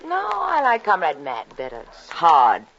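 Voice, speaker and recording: Slow, seductive femme fatale. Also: female voice, lowish voice, wildly swooping pitch, a bit bright, exaggerated voice. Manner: slow, flirtatious. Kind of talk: 1950s noir radio drama acting. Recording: dull 1950s radio recording.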